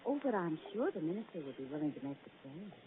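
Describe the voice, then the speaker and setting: A quick, teasing young wife's voice in a 1940s radio drama. She sounds tinny, like an old broadcast, with a crowd murmuring behind her. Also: deep voice, big pitch swings. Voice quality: teasing, playful. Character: teasing, playful young wife.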